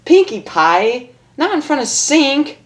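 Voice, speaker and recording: A man voicing an excitable, dramatic, lovestruck young female pegasus pony in a story reading. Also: very high-pitched, slow, pitched above the performer's own voice, exaggerated voice. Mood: embarrassed. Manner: yelping, emotional, dramatic.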